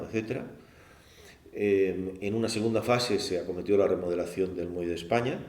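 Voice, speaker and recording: A man in his sixties with a Barcelona Spanish accent, recounting his memories at a panel in a measured, explanatory way, often ending phrases with a questioning tag. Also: sits low, mellow. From Barcelona, Spain. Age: 60s. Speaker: former port project manager giving testimony. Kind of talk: oral history recollection at a panel discussion. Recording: roomy echo, faint background noise.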